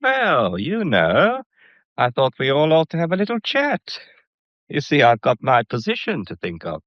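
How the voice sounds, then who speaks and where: An actor playing an insolent, scheming English blackmailer in a mystery radio drama, talking with smug, deliberate menace. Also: male voice, fairly high pitch, huge pitch swings, dry recording. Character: insolent, scheming male gallery employee turned blackmailer. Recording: dull, muffled radio drama recording.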